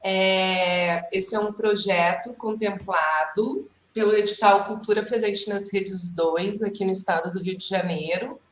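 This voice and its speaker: A Brazilian woman hosting an online workshop on a video call, chatty and friendly, often pausing with fillers. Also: medium pitch. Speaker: workshop host and artist. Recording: echoey room.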